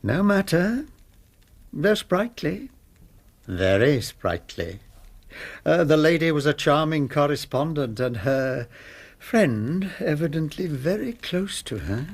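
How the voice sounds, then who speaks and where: An English actor playing a smug, middle-aged master blackmailer in a radio drama, with pompous, mock-polite regret. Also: male voice, fairly high pitch, very animated, slow pace, breathy edge, exaggerated voice. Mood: smug, teasing. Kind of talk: British radio drama acting.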